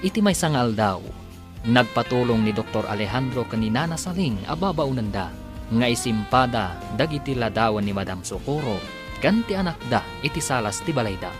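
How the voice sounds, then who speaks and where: With music playing strongly behind him, an Ilocano man narrates a radio serial. His scripted delivery is dramatic, and his pitch swings widely as he announces. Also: expressive, a little fast. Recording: prominent music under the voice.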